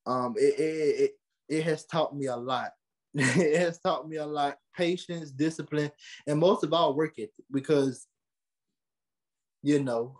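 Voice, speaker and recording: A young American man giving conversational interview answers, earnest and animated, repeating phrases for emphasis. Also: mid-range voice. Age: young adult. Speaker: podcast host and creative being interviewed.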